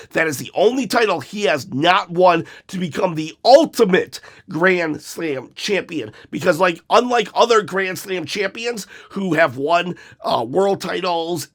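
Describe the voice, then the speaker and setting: A man hosting a wrestling opinion show, chatting casually with jokey asides, his voice slightly hoarse from being sick. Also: high-pitched, fairly bright tone. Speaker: wrestling commentary host.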